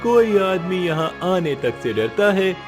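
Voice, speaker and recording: A man's voice: high-pitched, sing-song, unhurried. A man playing a concerned, admiring young village man in a moral story, speaking earnestly and emphatically. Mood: concerned, indignant. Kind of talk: Hindi moral story voice acting. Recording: music behind the voice.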